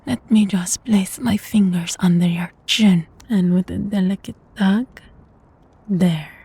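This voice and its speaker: Casting: female actor for a sadistic vampire mistress, unhurried and darkly mellow, possessive and condescending, intimate audio roleplay. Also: medium pitch, exaggerated voice. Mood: commanding, teasing. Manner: slow, teasing, condescending, often dropping to a breathy whisper.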